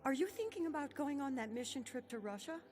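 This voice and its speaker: A woman's voice: high voice, expressive, fast, darkish tone, a little hiss or hum. An actress playing a friendly, encouraging young woman in a radio drama, upbeat and persuasive.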